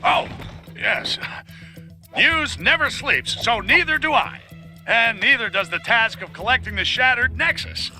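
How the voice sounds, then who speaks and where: An actor playing a brash, sarcastic news editor who narrates the story with blustering bravado in a game cutscene. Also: male voice, very high voice, expressive, unhurried, sharp tone, soft background music, full character voice.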